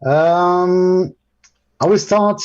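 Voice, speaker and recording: A man's voice: high-pitched, fairly even intonation, extremely slow, a little mellow, highs cut off. A man whose first language is French, presenting a live screen-share tutorial over a video call, with many ums and restarts. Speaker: guest presenter.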